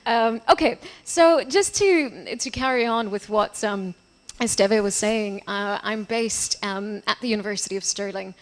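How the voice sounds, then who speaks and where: A woman from Portugal presents at an academic conference in a relaxed, conversational way. She keeps checking in with her listeners as she goes, and her voice sits at an ordinary middle pitch. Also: unhurried. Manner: chatty, checks in with "okay?". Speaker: conference speaker. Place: conference hall.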